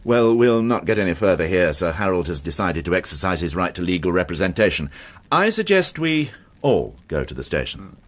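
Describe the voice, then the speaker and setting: An actor in a radio crime drama playing a shrewd middle-aged male police inspector, probing, deliberate and formally polite. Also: sits low, very animated. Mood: cool, pressing.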